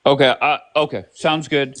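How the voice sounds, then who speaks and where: A man's voice: mid-range voice, fairly even intonation, moderate pace, fairly bright tone, no echo. A man hosting a live panel casually, with a blunt, interrupting way of talking. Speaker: live stream host.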